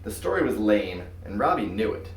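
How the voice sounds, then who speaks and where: A man narrates a children's story with highly animated intonation. His delivery is expressive and made for young listeners. The room rings around him, and he sounds some way from the microphone. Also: a little fast, a little hiss or hum. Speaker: storybook reader. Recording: roomy echo, far from mic.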